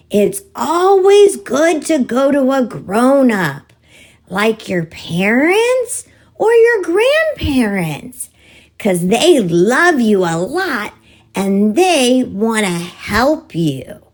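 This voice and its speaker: A woman voicing an excitable, friendly animal puppet for young children, with simple words and an exaggerated sing-song delivery. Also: on the high side, drawn-out words, voice pushed up, full character voice. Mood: gentle teaching. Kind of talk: children's story-time puppet talk.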